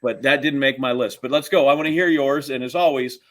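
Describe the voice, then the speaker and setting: A middle-aged man with an average-pitched voice co-hosts a casual sports podcast. He talks conversationally and needles his partner with dry sarcasm. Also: steady tone, a little fast. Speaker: podcast co-host.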